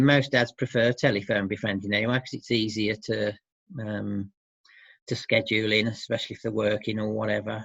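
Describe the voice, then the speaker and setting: A man's voice: fairly low pitch, creaky, a bit bright. A man answering interview questions about his work in an informal, thoughtful, hesitant way. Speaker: interviewee, support service worker for dads. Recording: muffled, roomy lo-fi sound.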